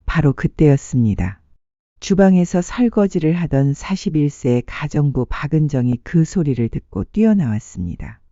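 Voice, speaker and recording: Dark-toned, expressive story narrator. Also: medium pitch, normal speed, no room sound, highs cut off. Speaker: audiobook narrator. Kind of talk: scripted dramatic story narration.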